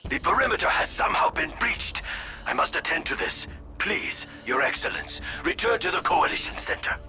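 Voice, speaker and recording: A man voicing a formal, dutiful alien military commander in a video game, speaking crisply and properly. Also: on the high side, huge pitch swings, normal speed, music in the background, exaggerated voice. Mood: urgent. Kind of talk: video game character acting.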